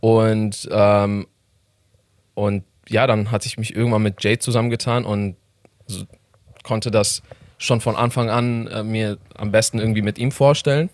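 A lowish male voice with a slight Iranian accent, recorded dry and close. He talks as an interview guest about making films, pausing often with fillers and breaking into laughter. The delivery is halting and relaxed. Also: no room sound. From Iran. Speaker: guest, filmmaker and YouTuber. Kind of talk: casual interview chat.

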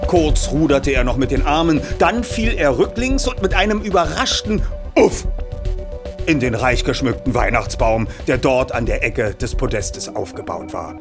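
A man narrates a story with lively, swooping intonation and a dramatic storyteller's delivery. Soft music plays behind his reading. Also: mid-range voice, normal speed. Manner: animated storytelling with acted dialogue. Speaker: audiobook narrator. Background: music accompaniment.

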